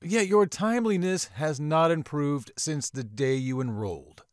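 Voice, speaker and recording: A man voicing a stern, formal older male professor in a visual novel, speaking in a measured way. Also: a little high, dry recording, clean studio sound, pitched above the performer's own voice, natural voice. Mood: stern reproach.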